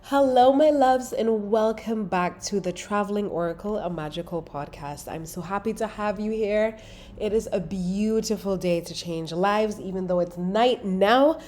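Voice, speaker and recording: Mellow woman with a cold. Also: medium pitch, moderate pace. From Caribbean. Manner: affectionate, motivational, conversational. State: congested from a cold, sniffly. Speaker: podcast host. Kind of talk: casual spiritual podcast talk. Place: London apartment near a busy road.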